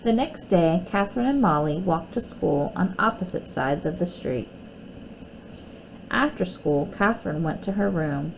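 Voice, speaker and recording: Expressive woman reading a children's story. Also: fairly low pitch, a little slow, gravelly, slight background noise, rough recording. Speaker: storybook reader. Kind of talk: children's story read aloud.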